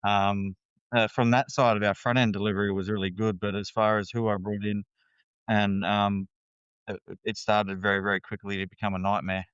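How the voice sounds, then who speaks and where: A man's voice: lowish voice, little pitch movement, moderate pace, a bit bright, clean tone, dry recording, lo-fi sound. A man with an Australian accent, a guest talking candidly in a casual interview, rambling with fillers and restarts.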